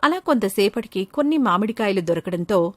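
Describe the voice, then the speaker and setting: A woman's voice: mid-range voice, expressive, a little fast, no room sound. A woman storyteller reading scripted narration for a children's moral story.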